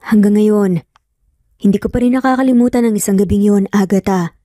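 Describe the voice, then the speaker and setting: A woman's voice: medium pitch, fairly quick, mellow, dry recording, studio quality, close to an everyday voice. A Filipino woman voicing an earnest, pleading man in love in a romance drama. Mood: pleading, intense. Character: persistent lovesick man, father, earnest and intense.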